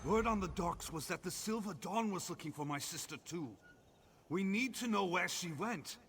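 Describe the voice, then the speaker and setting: An actor playing an anxious, protective brother in a fantasy video game, speaking earnestly and with urgency. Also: male voice, high voice, animated, normal speed, fairly bright tone, slight background noise. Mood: urgent, pleading. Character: anxious, protective Nord brother searching for his sister.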